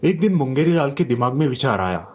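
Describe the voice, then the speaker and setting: A man's voice: medium pitch, big pitch swings, fairly quick, darkish tone. A man narrating a scripted moral story as a storyteller.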